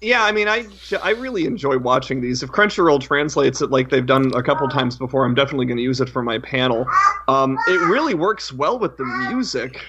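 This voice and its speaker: A man's voice: mid-range voice, animated, fairly quick, a bit bright, a little hiss or hum. A man co-hosting a casual podcast, chatting over dull online-call audio. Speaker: podcast co-host.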